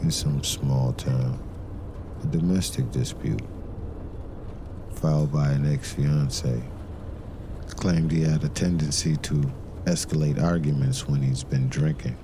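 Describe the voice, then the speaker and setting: An actor playing a brooding, suspicious detective who narrates a noir crime drama in a grave, deliberate manner. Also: male voice, very deep voice, steady tone, background noise, exaggerated voice. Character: brooding male detective, terse, suspicious and methodical. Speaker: narrator and lead character of an audio crime drama.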